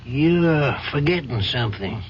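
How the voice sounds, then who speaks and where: An actor playing a compassionate male judge who reasons and admonishes deliberately in an old-time radio drama, on a dull, noisy recording. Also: medium pitch, lively intonation, close to an everyday voice. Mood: reasoning, admonishing.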